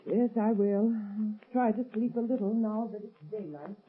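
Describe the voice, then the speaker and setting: An actress playing an anxious, bewildered, weary wife, fretful and questioning, in a 1930s radio melodrama. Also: female voice, medium pitch, steady tone, moderate pace, husky, close to an everyday voice. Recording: muffled, tinny old radio recording.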